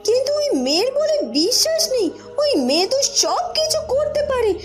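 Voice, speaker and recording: Cartoon schemer: jealous young villainess, extremely high, swooping, melodramatic, music behind. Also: female voice, sing-song, a little fast. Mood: jealous, determined. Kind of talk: Bengali cartoon voice acting.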